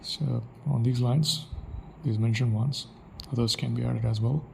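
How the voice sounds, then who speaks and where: Gravelly Indian-accented man thinking aloud. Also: mid-range voice, steady tone, unhurried, slight background noise. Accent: Indian English. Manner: reflective, thinking aloud with restarts. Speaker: podcaster. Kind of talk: solo spoken monologue.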